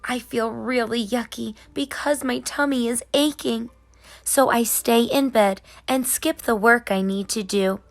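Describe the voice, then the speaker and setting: A woman reading as a busy, whimsical, gently scolding tooth fairy in a children's storybook, in rhyme. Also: a little high, fairly even intonation, unhurried. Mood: queasy, worried. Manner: sing-song rhyming delivery. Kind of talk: children's storybook narration in character.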